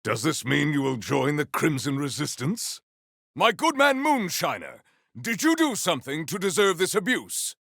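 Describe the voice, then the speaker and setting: A man voicing a big, formal, earnest warrior in a video game, with stately, measured phrasing and a solemn temper. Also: huge pitch swings, a bit bright, no echo, clean studio sound, heavily acted. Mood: rousing, emphatic. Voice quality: deep, gravelly.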